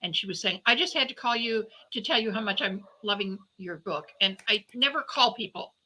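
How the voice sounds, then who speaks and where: A woman's voice: mid-range voice, lively intonation, brisk, bright, forceful tone, low-quality recording. A woman speaking conversationally as an interview guest, reflective and feeling her way through phrases with self-corrections. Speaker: guest novelist and podcaster.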